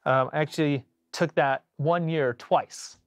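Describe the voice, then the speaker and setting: A man hosting a casual livestream as a bassist, rambling with lots of fillers and restarts, his voice a little off after a cold. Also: steady tone, measured pace, no room sound, clean studio sound. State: recovering from a cold.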